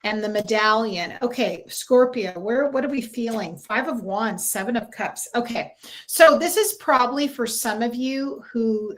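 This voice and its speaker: A woman with a slightly high voice chats through a spiritual livestream from home. She pulls cards, answers viewers by name and drifts between thoughts with lots of ums. It is picked up by a simple computer mic. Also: a bit bright, low-quality recording. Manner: chatty, reads out viewer comments, many fillers and restarts. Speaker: livestream tarot reader. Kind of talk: casual livestream chat.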